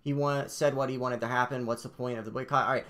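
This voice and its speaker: A man's voice: mid-range voice, fairly even intonation, quick pace, a bit bright. A man hosting a live game stream, talking loosely with lots of fillers. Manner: loose, laid-back, full of fillers like "like" and "you know". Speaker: stream host. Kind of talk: casual live stream chat.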